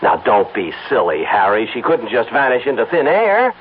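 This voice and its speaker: A noisy, phone-like old radio recording carries a harried, middle-aged American executive. He is defensive and obsessive, stammers when pressed, and his pitch moves up and down a great deal. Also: huge pitch swings, normal speed. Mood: preoccupied, insistent. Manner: flustered, stammering, swooping emphasis. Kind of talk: old-time radio drama acting.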